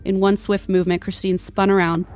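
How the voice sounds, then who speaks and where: A woman's voice: mid-range voice, level tone, a little fast, darkish tone. A woman narrating a scripted comedic tale in a mock-dramatic, literary manner. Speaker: podcast storyteller. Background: music under the voice.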